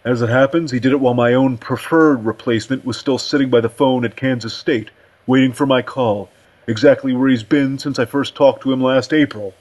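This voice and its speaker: A man's voice: medium pitch, steady tone, fairly quick, a little mellow, voice pushed up, lightly acted, rough recording. A man voicing a big, curt male department chairman in a story, formal and dismissive. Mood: cold, dismissive.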